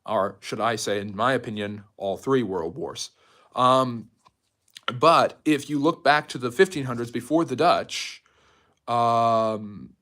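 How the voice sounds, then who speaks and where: A man speaks in a mid-pitched voice as the host of a casual history live stream. He is chatty and informal, moving between explanation and replies to viewers in the manner of an off-the-cuff lecture. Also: a bit bright. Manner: chatty, jumps between topics, reads out and answers viewer comments.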